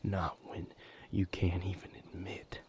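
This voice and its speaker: A man playing a weary, wounded vampire healer in an intimate audio roleplay, speaking in a breathy, gravelly, halting and earnest way. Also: low-pitched, monotone. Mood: troubled, reflective. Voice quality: breathy, gravelly, half-whispered. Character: weary, wounded male vampire healer leaving his house.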